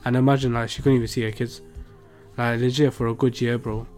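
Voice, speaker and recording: A man's voice: medium pitch, level tone, fast, a little mellow. Slangy young British man over music. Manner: slangy, emphatic. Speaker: podcast co-host. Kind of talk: casual podcast chat.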